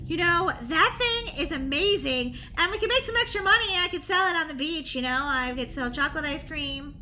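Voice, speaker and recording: A woman voicing an excitable, scatterbrained schoolgirl in a children's story, with a stammering, dramatic delivery. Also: high voice, brisk, slight background noise, full character voice. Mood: playful. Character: excitable, scatterbrained schoolgirl who fears zombies. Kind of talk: children's roleplay story acting.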